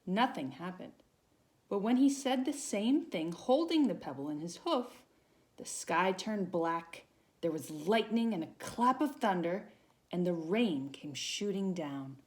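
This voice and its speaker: A woman reading a children's storybook aloud as a volunteer, with dramatic pauses and emphasis. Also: fairly low pitch, animated, a little mellow.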